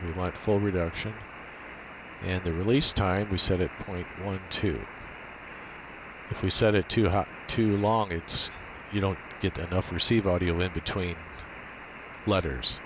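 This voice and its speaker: A low-pitched man talks through a technical demo in an informal, halting way, as if working it out aloud. The recording carries a clear, steady hiss from a cheap built-in laptop microphone. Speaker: amateur radio operator giving a tech demo. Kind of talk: informal screen demo walkthrough.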